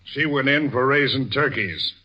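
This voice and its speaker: An actor playing a laconic, grumbling plumber in old radio comedy, with a dry, curt, deadpan delivery. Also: male voice, medium pitch, moderate pace, natural voice. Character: grumbling, laconic plumber full of failed money-making schemes. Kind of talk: 1930s-40s radio comedy acting. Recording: muffled, very noisy old radio recording.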